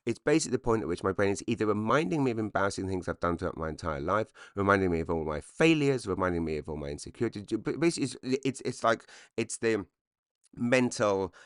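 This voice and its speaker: A man in his forties with a slightly croaky voice, rambling self-deprecatingly through a comedic solo podcast monologue. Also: lowish voice, expressive, fast talker, no echo, studio quality. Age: 40s. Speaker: podcast host, stand-up comedian.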